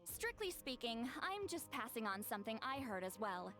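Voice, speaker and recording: An actress voicing a proud, fussy old shaman woman in a game, in a measured, slightly dramatic way, with game music behind. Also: on the high side, sing-song, fairly bright tone. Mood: solemn.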